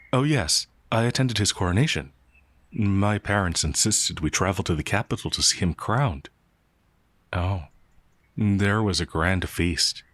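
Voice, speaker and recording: A man playing a gentle, naive young peasant werewolf, hesitant and easily flustered, in a fantasy audio roleplay. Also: fairly low pitch, no room sound. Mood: earnest, wistful.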